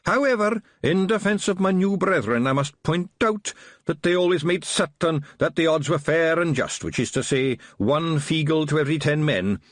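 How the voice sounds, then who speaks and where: A man's voice: normal speed, no echo, clean studio sound, lightly acted. A man voicing a tiny, fierce, long-winded male pictsie policeman with a put-on Scottish accent, in a storybook reading. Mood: earnest. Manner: excitable, high, loud and long-winded.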